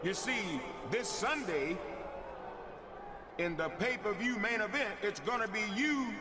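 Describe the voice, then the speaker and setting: A man's voice: high voice, expressive, unhurried. An actor playing a smug, taunting wrestling general manager in a video game cutscene, drawing out his announcements dramatically. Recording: game audio with loud arena noise.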